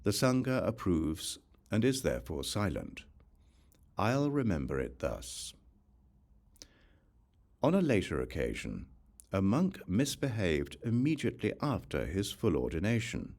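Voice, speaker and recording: A male narrator with a very low voice reads a religious text aloud as an audiobook. His delivery is measured and formal, with a lively, varied melody across each phrase. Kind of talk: scripted narration of a scripture translation.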